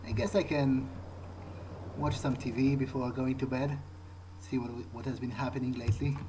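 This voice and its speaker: A man role-playing a wary, pragmatic vampire in a video game, thinking aloud in a halting, understated way. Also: mid-range voice, flat delivery, noisy background, close to an everyday voice. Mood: pensive. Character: male vampire musing to himself, wary, pragmatic. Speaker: gamer playing in character. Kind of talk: improvised in-character game monologue.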